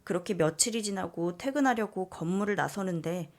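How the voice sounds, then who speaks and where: A woman narrating a listener's letter in first person, soft and confiding. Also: lowish voice, a little fast. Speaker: story reader.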